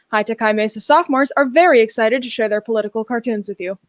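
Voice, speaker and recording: A woman's voice: fairly high pitch, no room sound. A woman reading scripted announcements as the announcer of a radio show.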